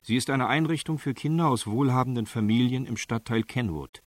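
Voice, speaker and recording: A man's voice: mid-range voice, brisk, no echo, natural voice. A man narrating a radio crime drama as a factual case report. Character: matter-of-fact male narrator of documentary case report.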